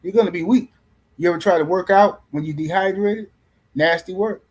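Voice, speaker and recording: A man's voice: sits high, normal speed. A man hosting a boxing livestream in casual commentary, loose and teasing, rambling and repeating words as he reads chat messages. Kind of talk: casual live-stream commentary.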